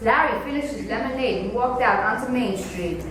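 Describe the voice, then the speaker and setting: A woman's voice: a little high, fairly quick, fairly bright tone. A young girl reading scripted story narration as a student performer. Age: child. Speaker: student reader. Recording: very echoey room, distant mic, faint noise.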